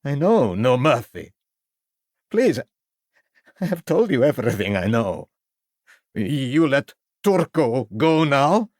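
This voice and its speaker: A man's voice: lowish voice, fairly even intonation, takes it slowly, gravelly, hardly any pauses, fairly bright tone, clear, resonant tone, no room sound, studio quality, full character voice. A man voicing an anxious foreign street violinist who speaks in broken English and refers to himself by name. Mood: pleading. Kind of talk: audiobook character voice.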